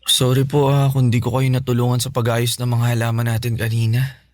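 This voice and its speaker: A man's voice: medium pitch, monotone, a little fast, darkish tone, no room sound, clean studio sound, natural voice. A man playing a respectful, playful, sickly teenage son in a Filipino radio drama. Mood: polite, eager. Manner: respectful, boyish, pleading.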